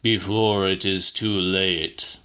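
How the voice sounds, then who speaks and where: A grave old gentleman acted by a man, speaking slowly and formally. He is pompous and insistent, with a bright, firm edge to his ponderous audiobook delivery. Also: sits low, level tone, smooth, heavily acted. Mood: urgent. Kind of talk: dramatic audiobook reading.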